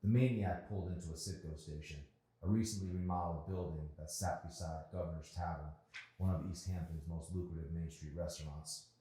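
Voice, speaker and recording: A man narrating his own novel aloud in an expressive storytelling style. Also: sits low, a little fast. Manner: expressive, acts out dialogue. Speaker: author reading own novel. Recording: very echoey room.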